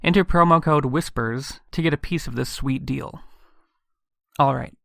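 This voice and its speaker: A man hosting a podcast, reading scripted material in a measured, suspenseful storytelling manner. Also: medium pitch, creaky, a bit bright. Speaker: podcast host. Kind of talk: scripted eerie narration.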